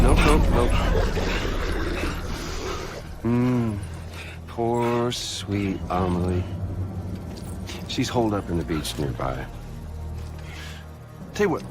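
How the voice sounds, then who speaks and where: A man playing a taunting, menacing masked video game villain with a Southern American drawl, mock-folksy and savouring each phrase. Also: medium pitch. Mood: mocking playfulness. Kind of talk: cinematic video game acting. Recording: loud music behind.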